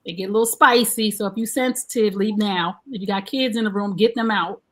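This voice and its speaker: A woman, hosting a live-streamed relationship talk show in a chatty, animated way. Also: medium pitch, a little fast. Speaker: host.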